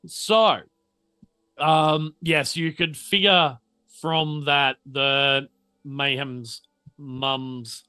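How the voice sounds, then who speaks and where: A man's voice: medium pitch, bright, forceful tone, clear, resonant tone, dry recording. An Australian man running a tabletop game casually, hesitantly piecing his narration together as game master.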